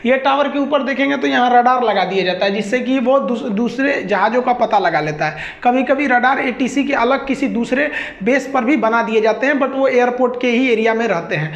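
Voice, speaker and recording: A woman's voice: on the high side, fairly quick. A woman with a Bihari accent giving an informal explainer lecture, colloquial and repeating points with everyday examples. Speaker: teacher. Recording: echoey, dull lo-fi audio.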